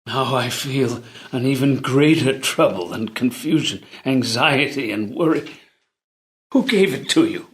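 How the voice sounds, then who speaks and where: A man's voice: medium pitch, lively intonation, a little slow, a little hiss or hum, close to an everyday voice. A man playing a stern older jailer torn by duty, declaiming grandly in a play read-through. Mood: anguished. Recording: roomy, echoey sound.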